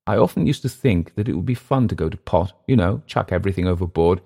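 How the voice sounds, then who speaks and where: A man voicing a bitter, dissolute Englishman with an English accent, sneering and halting by turns. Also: deep voice, animated, vocal fry, mellow, full character voice. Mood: uneasy.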